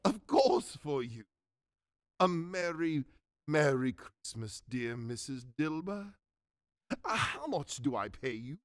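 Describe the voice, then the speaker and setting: A man acts out an old miser overcome with giddy joy in a radio play. The voice sits fairly high and leaps about in animated swoops, with stammered, repeated exclamations. The sound is dry and close. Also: slow pace, no echo. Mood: elated, generous. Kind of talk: theatrical radio drama acting.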